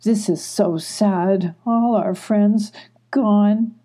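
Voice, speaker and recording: A woman voicing a gentle, tearful sloth in a children's story, with pleading, drawn-out calls. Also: high-pitched, expressive, gravelly, pitched above the performer's own voice, full character voice. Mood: sad. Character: gentle, tearful sloth calling out hopefully for friends.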